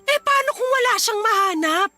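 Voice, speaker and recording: A woman playing an expressive young wife and mother in a radio drama, emphatic and full of pointed questions. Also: very high-pitched, normal speed. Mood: exasperated, reproachful. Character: exasperated young wife and mother, teasing then reproachful. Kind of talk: radio drama acting in dialogue. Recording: music under the voice. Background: background music.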